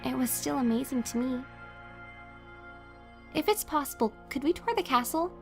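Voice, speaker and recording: A woman's voice: sits high, expressive, normal speed, creaky, soft background music. A woman playing a sweet, curious, wide-eyed girl in an audio drama, earnest, polite and eager. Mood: polite, hopeful.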